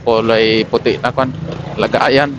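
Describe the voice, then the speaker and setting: Man vlogging over clear road noise. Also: medium pitch, flat delivery, a little slow, highs cut off. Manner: unscripted running commentary. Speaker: motorcycle vlogger. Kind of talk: casual vlog chat while riding. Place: outdoors on a motorcycle in city streets. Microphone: helmet intercom microphone. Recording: helmet mic with clear traffic noise. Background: vehicles and outdoor street noise.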